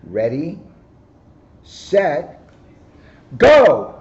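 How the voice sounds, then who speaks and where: A man voicing an eager, bossy female rabbit who coaches excitedly. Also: fairly high pitch, drawn-out words, muffled recording, a little hiss or hum, voice pushed up, heavily acted. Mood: coaching.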